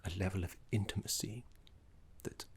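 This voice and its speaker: A man playing a genteel, fussy, courteous professor of necromancy in an ASMR roleplay, speaking in a soft, breathy, formal way with dramatic pauses. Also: sits low. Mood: courteous, intimate.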